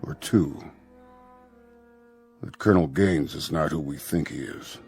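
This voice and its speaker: Deep-voiced, brusque general. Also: male voice, measured pace, a little mellow. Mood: stern, commanding. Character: brusque, skeptical, commanding male general. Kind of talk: radio drama acting. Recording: music bed underneath.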